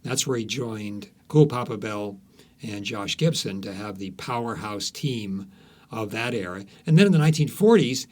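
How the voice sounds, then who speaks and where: A man speaking as an author guest on a podcast, telling stories conversationally in a halting, emphatic way. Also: fairly low pitch, lively intonation. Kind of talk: conversational storytelling in an interview.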